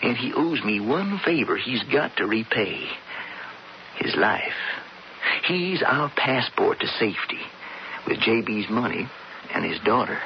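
An actor playing a scheming older brother turned stage robber in a radio western, with a folksy, persuasive American Western drawl. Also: male voice, medium pitch, big pitch swings, measured pace, gravelly. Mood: coaxing, confident. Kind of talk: radio western drama acting. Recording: muffled, noisy old radio broadcast.

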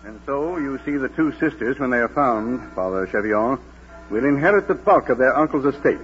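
A man's voice: medium pitch, expressive, moderate pace, dull audio, soft background music, lightly acted. An actor playing a reserved, moralistic man of business with formal manners in an old radio drama. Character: formal, reserved, moralistic gentleman estate agent. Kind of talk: Golden Age radio drama acting.

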